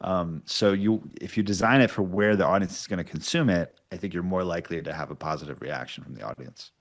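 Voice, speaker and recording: A man's voice: sits low, fast. A man speaking informally and a little self-deprecatingly as a guest writer-producer in a casual interview. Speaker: guest writer-producer of podcast audio dramas.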